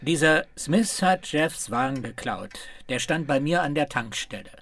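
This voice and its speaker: A man's voice: a little high, lively intonation, unhurried, fairly bright tone, full character voice. An actor playing a resigned, grumpy gas station man with a dry, curt, grumbling way of talking. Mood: wry, grumbling.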